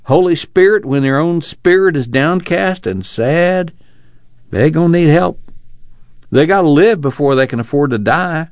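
A man's voice: fairly high pitch, lively intonation, unhurried, no echo, muffled recording, voice pushed up. A man voicing an earnest, compassionate rural former preacher with solemn, emphatic folksy speech in an audiobook novel. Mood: earnest, urgent.

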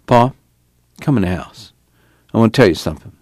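A man's voice: lowish voice, lively intonation, fairly quick, darkish tone, no room sound, clean studio sound. A man voicing a level-headed farm son who takes charge in an audiobook novel, plain and persuasive. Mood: practical, firm.

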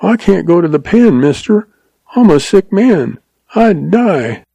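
A man's voice: sits high, moderate pace, vocal fry, dark tone, dry recording, voice pushed up, full character voice. A man voicing a cringing, terrified little male telegraph agent who quavers and blubbers as he pleads. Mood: pleading, terrified.

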